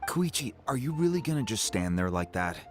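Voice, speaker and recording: A man's voice: mid-range voice, big pitch swings, fairly quick, faint music behind, lightly acted. An actor playing a weary, easygoing man in a video game, with a resigned and hesitant way of explaining things. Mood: exasperated.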